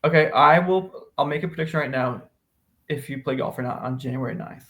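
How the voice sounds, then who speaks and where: A man's voice: mid-range voice, fairly quick, fairly bright tone. A man with an American accent chatting casually with a friend as co-host of a podcast. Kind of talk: casual banter between friends.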